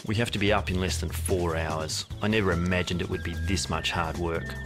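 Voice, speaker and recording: Low aussie guy doing scripted voice-over, dry and wry, music under it. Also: steady tone, fairly quick. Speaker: narrator and farmer.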